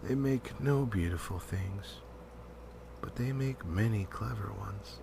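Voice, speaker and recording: Slow-paced man reading a story dramatically. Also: on the low side, gravelly, background noise. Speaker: amateur audiobook narrator.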